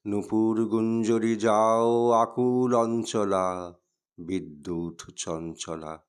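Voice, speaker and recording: Slow, clear-voiced man reciting poetry. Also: lowish voice, darkish tone. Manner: measured, deliberate, declamatory phrasing. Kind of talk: dramatic Bengali poetry recitation.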